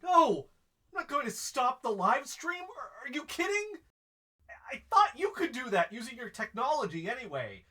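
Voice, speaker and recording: A man's voice: extremely high pitch, big pitch swings, normal speed, sharp tone, heavily acted. An actor playing a nervous, evasive young man who stammers and rambles hesitantly in a naturalistic audio drama. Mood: panicked, angry. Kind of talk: naturalistic fiction podcast acting.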